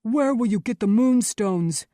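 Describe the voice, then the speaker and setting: A woman's voice: fairly high pitch, animated, measured pace, dark tone, dry recording, studio quality, pitched above the performer's own voice. A woman voicing a big, enraged mob leader in an audiobook, snarling and accusing. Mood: hostile, demanding. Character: tall burly man, red-faced, enraged mob leader. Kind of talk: audiobook character voice.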